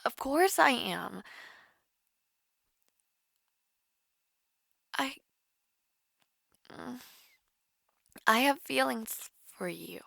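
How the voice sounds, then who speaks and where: A woman playing a nervous, smitten young woman in an intimate romantic audio roleplay, halting and flustered. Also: a little high, lively intonation, a bit bright, dry recording, studio quality, full character voice. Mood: flustered, hesitant. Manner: halting, flustered, breathy sighs and whispers.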